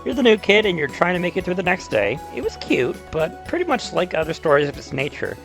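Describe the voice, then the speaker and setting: A man's voice: high voice, big pitch swings, fairly quick, creaky, a bit bright, soft background music. A man hosting a casual scripted video essay, talking in a wry, conversational and emphatic way. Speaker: YouTube host.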